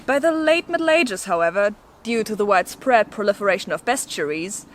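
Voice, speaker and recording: A woman's voice: medium pitch, expressive, fairly quick. A woman hosting an educational video, reading scripted narration with casual asides in a playful, lightly theatrical way. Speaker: video host presenting an educational explainer. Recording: noticeable background noise.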